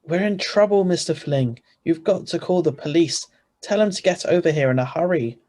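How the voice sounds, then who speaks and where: An actor playing a tense, protective husband in an old-style radio thriller, urgent and plain-spoken. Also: male voice, a little high, moderate pace, a little mellow, lightly acted. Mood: urgent calling. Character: tense, protective ordinary husband, hard-boiled narrator. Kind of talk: 1940s-style radio drama acting and narration. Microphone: video call.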